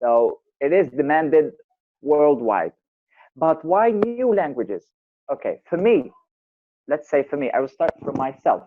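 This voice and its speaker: A man from Algeria with an Algerian accent, a native Arabic speaker, talking slowly and deliberately in a casual online group chat as a linguist. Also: on the high side, sing-song. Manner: slow and deliberate, many fillers and repeats. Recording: tinny phone-like online call audio.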